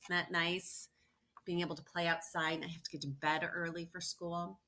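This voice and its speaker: Female teacher, storytime read-aloud. Bright, forward voice, emphatic delivery, chatty asides to the children. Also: lowish voice, normal speed. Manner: animated storytelling with emphatic stresses and playful questions to listeners. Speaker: teacher reading aloud to students. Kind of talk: expressive picture-book read-aloud with personal asides.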